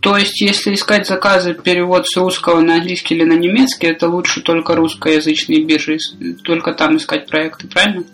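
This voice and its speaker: Podcast host guy, kinda high voice, asking follow-ups, faint music behind. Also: little pitch movement, fairly quick, hardly any pauses, fairly bright tone. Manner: conversational, probing follow-up questions. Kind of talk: interview questions.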